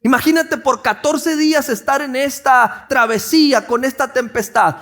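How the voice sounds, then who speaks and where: High-voiced Mexican pastor preaching. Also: male voice, normal speed, a bit bright. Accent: Mexican Spanish, Sonora coast. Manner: conversational, asks the congregation questions, many restarts. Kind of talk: sermon.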